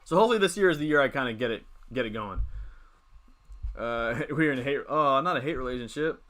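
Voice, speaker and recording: A young man in his twenties hosts a relaxed live stream, chatting loosely and answering viewers' messages as they come in. His voice is bright and forward, at a middle pitch, and he talks from a desk mic at home. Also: fast. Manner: rambling, reads chat and answers it. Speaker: livestream host. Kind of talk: casual live stream chat.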